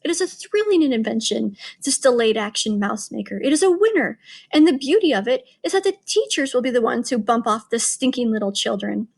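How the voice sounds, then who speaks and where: A woman voicing a crowd of cruel witches, shrieking and gloating in excitement. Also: a little high, a little fast, creaky. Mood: gleeful.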